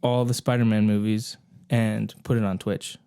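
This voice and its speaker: A man's voice: lowish voice, level tone, moderate pace, dark tone, clean tone. A man hosting a podcast in a casual, informal group chat among co-hosts. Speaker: podcast host.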